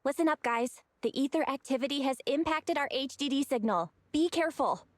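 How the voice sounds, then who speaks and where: Perky high young techie. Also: female voice, lively intonation, brisk, no echo. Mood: alert, urgent. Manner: teasing yet decisive. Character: perky, quick-witted young woman tech operator. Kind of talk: anime-style video game acting.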